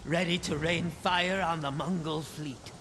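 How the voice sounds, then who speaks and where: A man acting a high-pitched jovial rogue. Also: steady tone, measured pace, background noise, lightly acted. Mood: eager. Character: jolly tipsy sake-loving rogue, loyal comic companion. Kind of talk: colourful video game character acting.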